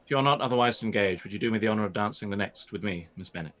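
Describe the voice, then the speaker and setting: An actor playing a proud, reserved, guarded gentleman in a period radio-play reading, speaking in a stiff, formal, restrained way. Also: on the low side, racing, natural voice. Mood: stiffly polite.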